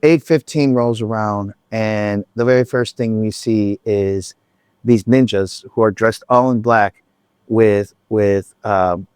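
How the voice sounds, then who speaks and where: A man's voice: fairly low pitch, slow pace, darkish tone, smooth, no echo. A man telling a concert story in a casual, rambling vlog chat, full of restarts and asides. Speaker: vlogger.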